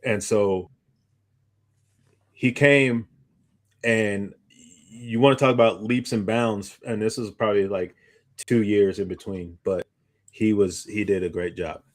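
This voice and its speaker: Male podcast co-host, slightly low register, informal anecdotal delivery, rambling casual chat. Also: fairly even intonation, slow, pauses often.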